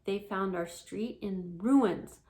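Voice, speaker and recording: A woman voicing a kindly, motherly older sister cat with wings from a storybook, explaining things gently and earnestly. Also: medium pitch, slow.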